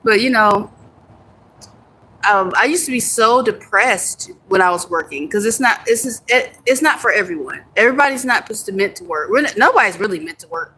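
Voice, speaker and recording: A woman's voice: medium pitch, lively intonation, a little fast, sharp tone, breathy edge. A young American woman chatting casually on a livestream, reading and answering viewer comments, laughing easily and swearing now and then. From United States. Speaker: livestream host. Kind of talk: casual live chat with viewers.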